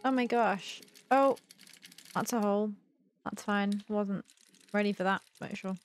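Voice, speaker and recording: A woman's voice: mid-range voice, unhurried. A young adult woman giving casual let's-play commentary, thinking aloud in a playful, self-deprecating mutter. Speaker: gaming video host.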